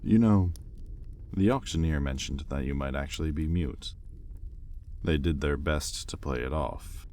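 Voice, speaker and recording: Man playing a very deep, slow, imperious demon. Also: darkish tone, a little hiss or hum. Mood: puzzled, threatening. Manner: slow, deliberate, menacing drawl. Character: imperious male demon buyer, sardonic and exasperated. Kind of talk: intimate audio roleplay acting.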